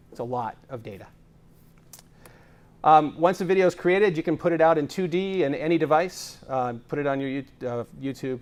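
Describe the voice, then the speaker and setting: A man giving a conference presentation on video production, explaining with energy and frequent fillers. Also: medium pitch. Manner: enthusiastic, explanatory, filler-heavy. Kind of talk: tech conference talk. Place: conference stage.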